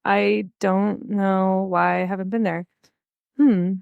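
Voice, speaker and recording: Young woman from California, bubbly guest, laughs a lot, mid-pitched voice, dry close mic. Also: little pitch movement, takes it slowly, gravelly, clean tone, no room sound, narrow, tinny audio. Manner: bubbly, laughs often, enthusiastic. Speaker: podcast guest. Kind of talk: casual beer-tasting chat.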